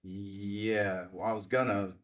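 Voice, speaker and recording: A man's voice: on the low side, little pitch movement, slow. A man playing a hungover, joking military husband in his forties at a table read of a screenplay. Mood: weary, evasive.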